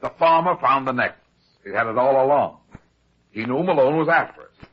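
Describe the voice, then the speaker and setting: A man playing a heavyset, shrewd private detective in an old-time radio drama, brisk and commanding as he explains things. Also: mid-range voice, lightly acted. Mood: assured, explaining. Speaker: lead actor. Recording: dull, lo-fi old radio recording.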